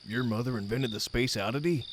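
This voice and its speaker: A man's voice: mid-range voice, a little fast, noisy background, lightly acted. Man playing plain-spoken dockworker, crickets behind. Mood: confused, alarmed. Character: easygoing male dockworker, loyal but a bit clueless. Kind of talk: scripted audio drama acting.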